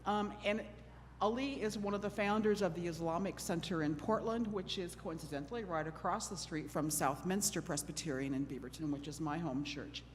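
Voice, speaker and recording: A woman's voice: on the low side, brisk. A middle-aged woman from Oregon moderating a church panel discussion, chatty and a bit nervous, joking at her own expense. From Oregon, USA. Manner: nervous, self-deprecating, chatty with laughs. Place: church hall. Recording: long hall reverb with faint noise.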